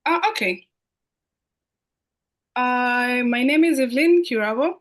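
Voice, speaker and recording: A woman's voice: on the high side, unhurried, faint music behind. Woman from Uganda on a video call. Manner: hesitant, many fillers and restarts. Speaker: guest student. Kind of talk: live online Q&A.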